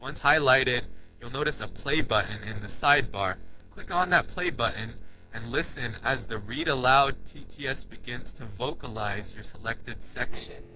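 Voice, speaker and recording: A man with a mid-range voice narrates a scripted how-to guide. He delivers it in an instructional manner, laying out each step in a clear, orderly structure. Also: mid-range voice, moderate pace, sharp tone, faint music behind, low-quality recording. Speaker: tutorial narrator.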